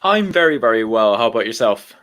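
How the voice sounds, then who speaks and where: A man from Iceland talking as an interview guest, relaxed and rambling with frequent ums and self-corrections. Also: mid-range voice, fairly quick. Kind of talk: casual interview talk.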